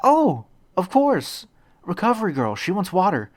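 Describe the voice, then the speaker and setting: Flustered teen hero boy played by a male reader, polite, stammering, raised pitch, audiobook dialogue. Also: huge pitch swings, a little slow, no room sound, full character voice. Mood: anxious, polite. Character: earnest, flustered teenage boy hero in training.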